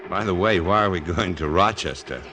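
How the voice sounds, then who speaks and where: Deep-voiced tormented amnesiac man. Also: bright, forceful tone, a little hiss or hum. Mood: wry, affectionate. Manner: halting, brooding. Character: tormented amnesiac man, fugitive, guilt-ridden and lovestruck. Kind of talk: 1940s radio drama acting. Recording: vintage radio broadcast with orchestral music.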